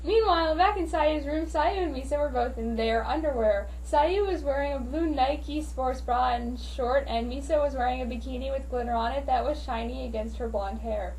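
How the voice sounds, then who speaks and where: Woman, high pitch, theatrical mock-serious story reading, noisy recording. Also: moderate pace, hardly any pauses, a little mellow. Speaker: amateur reader. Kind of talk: dramatic reading of fan fiction. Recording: noisy, distant home microphone.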